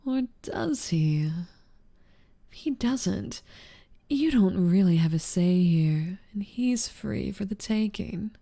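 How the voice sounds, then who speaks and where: A woman plays a smug, seductive rival succubus in an ASMR roleplay. Her voice is slow, mellow and creaky, often sinking into breathiness. She teases and taunts with sly confidence. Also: medium pitch, lively intonation, exaggerated voice. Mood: taunting. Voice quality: breathy, half-whispered in places. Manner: drawling, teasing, taunting. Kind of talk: ASMR audio roleplay acting.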